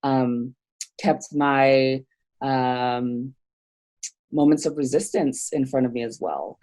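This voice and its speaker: A woman from Nigeria talks things through in an interview, pausing often and restarting her thoughts. Her voice sits very low and sounds mellow and dark. She speaks reflectively rather than with polish. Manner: thoughtful, hesitant, many fillers and restarts. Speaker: theologian guest.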